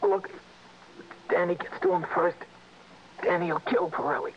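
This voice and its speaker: A dying convict, gasping and halting, with a gravelly, cracking voice pitched a little high. He stammers desperately through a muffled old radio drama recording. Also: fairly even intonation. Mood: urgent, pleading. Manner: halting, stammering, repeating words. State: out of breath, gasping and faltering. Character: dying male prisoner, a guilt-ridden safe robber, desperate. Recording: muffled vintage radio recording, faint hiss.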